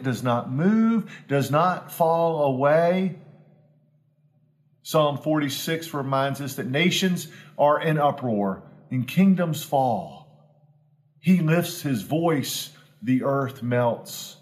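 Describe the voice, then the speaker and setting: A pastor in his forties speaks slowly and deliberately, taking his time over each phrase. His voice sits at an ordinary middle pitch for a man. He is earnest and homespun, and his reflection builds to emphatic repetition. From Southwest Virginia, US. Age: 40s. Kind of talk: reflective devotional talk.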